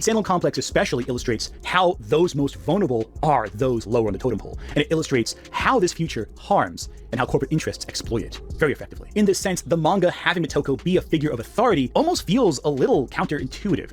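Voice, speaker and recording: A man narrating a scripted video essay in an analytical, emphatic way. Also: on the high side. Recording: music under the voice. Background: background music.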